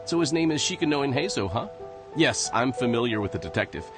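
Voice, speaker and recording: Game role: polite male guard on watch , man acting, animated intonation, formal, music behind. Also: medium pitch, brisk, natural voice. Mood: polite, helpful. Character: courteous, dutiful male watch officer. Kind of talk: video game dialogue acting. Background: game background music.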